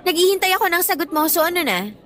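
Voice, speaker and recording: A woman's voice: very high-pitched, animated, fast, a bit bright, faint music behind. An actress playing a spoiled, insolent teenage schoolgirl with a Filipino accent in a Tagalog radio drama, taunting and slipping in English. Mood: taunting, scheming. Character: spoiled, insolent teenage schoolgirl who blackmails her teacher.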